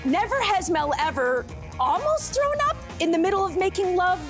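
A woman's voice: very high voice, very animated, normal speed, loud music behind the voice. A Canadian woman presenting a baking segment on a studio talk show, bubbly and chatty, laughing often. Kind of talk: lively studio chat. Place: bright TV studio with sofas.

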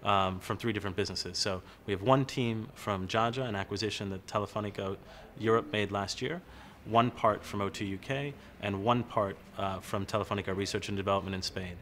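A man's voice: on the low side, steady tone, fairly quick, fairly bright tone, a little hiss or hum. A man in his thirties explaining his team's work in an informal corporate interview. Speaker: corporate innovation team representative. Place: large open-plan office, indoors.

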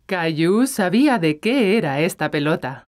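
A woman voicing the gentle storyteller of a children's cartoon, narrating with a Latin American Spanish accent. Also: lowish voice, sing-song, moderate pace. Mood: gentle, storytelling. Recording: music behind the voice.

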